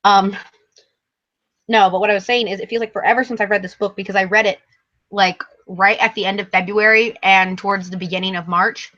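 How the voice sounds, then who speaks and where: Woman on dull lo-fi audio, bright voice, slightly worn. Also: mid-range voice, steady tone, fairly quick. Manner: hesitant, rambling, self-correcting. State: losing her voice, tired. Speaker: book vlogger co-hosting a live show. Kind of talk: casual book chat.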